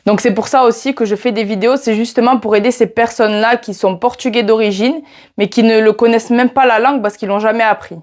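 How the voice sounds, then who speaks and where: Young woman, southern French accent, casual vlogger, slightly high voice, talks straight to the viewer. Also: fairly even intonation, quick pace, a little mellow. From France. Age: 20s. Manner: chatty, addresses viewer directly with "tu vois". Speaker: YouTuber teaching Portuguese. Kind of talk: casual vlog talking to camera.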